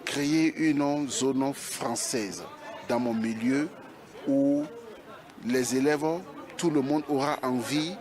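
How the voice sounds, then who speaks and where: Slow male speaker, mid pitch, earnest halting phrases, interview, busy voices behind. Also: a crowd in the background. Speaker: volunteer French teacher, interviewee. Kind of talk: spontaneous interview.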